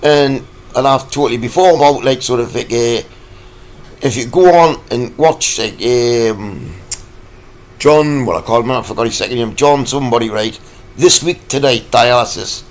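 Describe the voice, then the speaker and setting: Man, croaky voice, rambling rant, lots of fillers, middling pitch. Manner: rambling and halting, full of fillers. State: croaky from a cold. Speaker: vlogger. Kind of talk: ranting political vlog.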